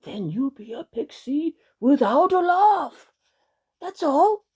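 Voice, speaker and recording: Woman as a slow, solemn old pixie fiddler. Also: high-pitched, sing-song, mellow, slightly rough, voice pushed up, exaggerated voice. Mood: matter-of-fact advice. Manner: slow, grave, deliberate.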